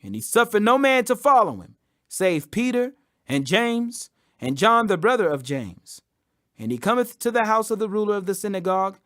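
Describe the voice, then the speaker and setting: A man with a high voice reads scripture in a solemn, emphatic way. His pitch swings widely as he narrates, and the sound is close and dry. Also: no room sound. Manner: solemn, emphatic, raising the voice for quoted speech. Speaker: scripture reader. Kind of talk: dramatic audio Bible narration.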